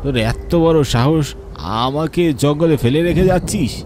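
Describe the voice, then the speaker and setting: A man voicing a vengeful male ghost in a horror cartoon, with drawn-out, menacing cries over music. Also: fairly high pitch, background noise, close to an everyday voice. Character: vengeful male ghost of a murdered farm owner.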